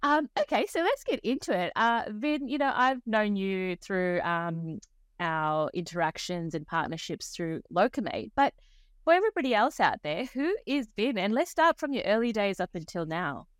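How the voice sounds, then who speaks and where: A woman's voice: mid-range voice, big pitch swings, moderate pace, clean tone, dry recording, studio quality. A woman hosting a pharmacy podcast, upbeat and bubbly, laughing often with her guest. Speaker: podcast host. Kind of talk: podcast interview.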